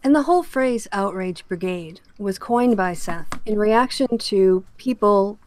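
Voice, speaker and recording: A woman's voice: medium pitch, animated, measured pace, darkish tone, slight background noise. A woman hosting an opinionated online discussion, speaking in an earnest, emphatic and argumentative way. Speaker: host of an online political discussion show.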